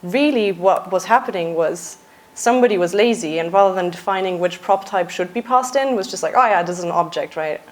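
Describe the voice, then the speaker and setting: A young adult woman from Austria, a software engineer giving a conference talk in a casual, lightly joking way with frequent uhs. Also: on the low side, a little fast. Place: conference stage.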